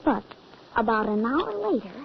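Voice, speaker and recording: A woman's voice: on the high side, sing-song, moderate pace, creaky. A woman voicing a frightened young boy, the son, in an old radio drama, telling the story urgently. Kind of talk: 1940s radio acting. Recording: dull old radio recording, faint hiss.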